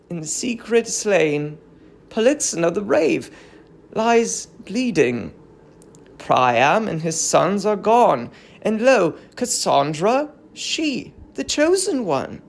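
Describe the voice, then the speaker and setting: A reader playing a lordly ancient god of the sea, grieving and grave. The lines come very slowly in stately declamation, with a creaky edge, as in a tragic verse drama on audiobook. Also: mid-range voice, pitched above the performer's own voice, heavily acted.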